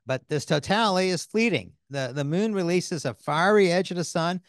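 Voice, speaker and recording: A man's voice: high-pitched, animated, no echo. Older male co-host, upbeat scripted delivery, dry studio sound, handheld mic. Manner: upbeat, reads scripted lines with quick affirmations. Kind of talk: scripted corporate town hall chat. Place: studio set in front of a screen.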